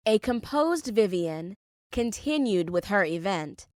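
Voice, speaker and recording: A woman's voice: medium pitch, sing-song, slow pace, darkish tone, dry recording, studio quality. A woman reading scripted first-person fiction as an audiobook narrator.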